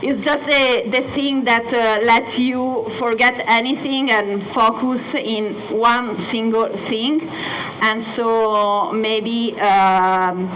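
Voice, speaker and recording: A young Italian woman with an Italian accent, presenting as a mountain guide and pausing often with filler sounds. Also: fairly high pitch, steady tone, fairly bright tone, a little hiss or hum, low-quality recording. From Italy. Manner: many filler sounds and pauses. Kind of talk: hesitant presentation talk. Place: hotel event space.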